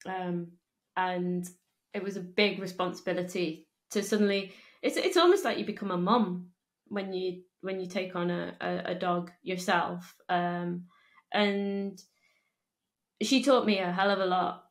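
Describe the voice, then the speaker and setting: Woman, flat voice, echoey room. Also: on the low side. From Liverpool, England. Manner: halting, with frequent restarts and ums. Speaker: interview guest. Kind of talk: casual conversational storytelling. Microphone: earphone mic on a video call.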